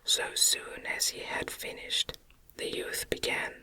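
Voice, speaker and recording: A woman reading a gothic novel aloud as ASMR, in a gentle, breathy, unvoiced whisper with measured delivery, close to the microphone. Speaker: audiobook narrator.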